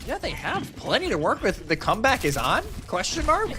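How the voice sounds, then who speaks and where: A man's voice: high-pitched, wildly swooping pitch, brisk, noisy background. A man giving live esports commentary as an analyst, explaining the plays with emphasis.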